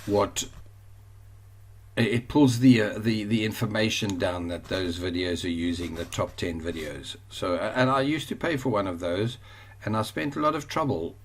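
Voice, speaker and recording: A man with a South African accent teaching an online course over a screen share, rambling and often correcting himself. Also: on the low side. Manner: rambling, self-correcting, chatty.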